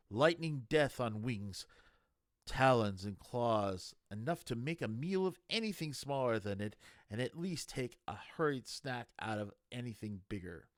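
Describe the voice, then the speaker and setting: A man reading a novel aloud in a meandering, halting, conversational way, like an amateur audiobook reader. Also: mid-range voice, no room sound, studio quality. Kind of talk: casual chat, then reading a novel aloud.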